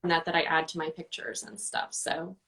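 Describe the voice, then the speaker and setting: A woman's voice: sits low, little pitch movement, a little fast, bright, forceful tone, distant mic, rough recording. A woman answering interview questions in a casual, chatty way, with many fillers and restarts. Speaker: guest, book reviewer.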